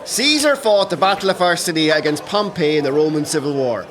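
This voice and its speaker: A man's voice: on the high side, expressive, fairly quick, a bit bright. An actor playing a wry, bookish rebel major, fatherly with his men, in an audio play with an Irish accent. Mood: jovial. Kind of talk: audio drama acting. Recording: busy background crowd noise.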